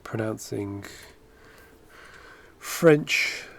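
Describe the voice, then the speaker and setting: A man's voice: on the low side, extremely slow, creaky, a bit bright, a little hiss or hum. A man in his thirties chatting in a rambling, self-correcting way while drawing on a livestream, recorded on a headset at home. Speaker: animation streamer. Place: home studio, indoors.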